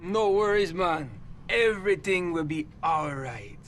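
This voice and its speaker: Man acting cheerful easygoing guy, Jamaican accent, soothing comic commercial, lively swooping pitch, guitar music behind. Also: a little slow. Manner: sunny, laid-back.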